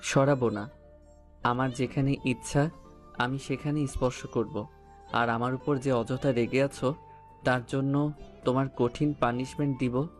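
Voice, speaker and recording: A man's voice: medium pitch, steady tone, unhurried, long pauses, faint music behind, close to an everyday voice. A man playing a teasing, stubborn young lover who speaks amorously in a romantic audio story.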